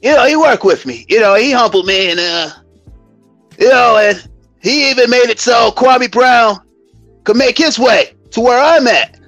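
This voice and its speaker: An American man around fifty hosting a live stream as a comedic mock church testimony, with a theatrical, drawn-out, preacher-like delivery. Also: very high-pitched, creaky, fairly bright tone, no echo, muffled recording, faint music behind. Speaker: YouTube live stream host.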